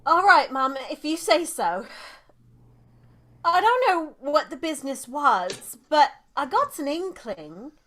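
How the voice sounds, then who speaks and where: A woman's voice: high voice, very animated, takes it slowly, pushed and bright, heavily acted. A woman playing a gossipy, deferential housemaid in a radio drama, chatty and hesitant. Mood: nervous, confiding. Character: deferential, gossipy working-class English housemaid.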